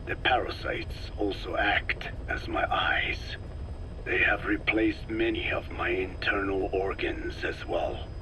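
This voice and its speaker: An actor playing an ancient, soft-spoken scientist in a video game, with a breathy aged voice and a measured, formal way of telling things. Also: male voice, fairly even intonation. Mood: calm, wry. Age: older. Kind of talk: dramatic video game dialogue. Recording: noisy cassette tape with vehicle-like rumble.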